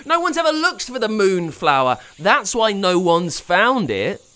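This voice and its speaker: A man's voice: high voice, sing-song, normal speed, a bit bright, dry recording, voice pushed up. A man voicing an eager young boy hero who exclaims urgently.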